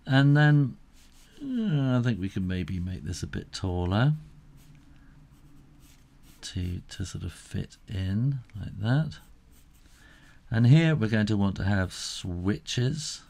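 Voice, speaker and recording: A middle-aged man giving a casual step-by-step drawing tutorial, unhurried and thinking aloud as he works. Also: fairly low pitch, animated, creaky, a little mellow.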